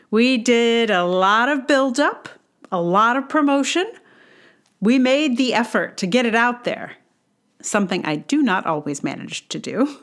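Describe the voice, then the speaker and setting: A woman hosting a podcast, reading her own personal essay aloud in a measured, deliberate way with casual asides. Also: fairly high pitch, fairly bright tone. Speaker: podcast host and writer.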